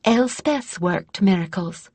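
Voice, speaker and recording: A woman voicing a modest, earnest young female trainee in a fantasy audiobook, measured and sincere. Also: medium pitch, expressive, a little mellow, slightly rough, no echo, heavily acted.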